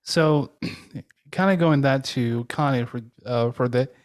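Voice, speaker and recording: A woman's voice: a bass voice, measured pace, a little mellow. A woman hosting a casual podcast interview, speaking a little hesitantly. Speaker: podcast host.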